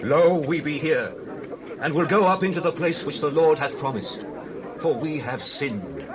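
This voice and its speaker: A man's voice: fairly high pitch, measured pace, natural voice. Man as earnest Israelite amid noisy crowd. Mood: resolute, penitent. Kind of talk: dramatized scripture acting. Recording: loud crowd and outdoor ambience.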